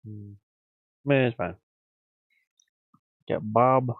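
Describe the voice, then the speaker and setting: A man's voice: mid-range voice, steady tone, extremely slow, breathy edge, dry recording, phone-quality sound. A man thinking aloud in casual live-stream chat, muttering informally to himself as he works. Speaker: streamer and hobby game developer.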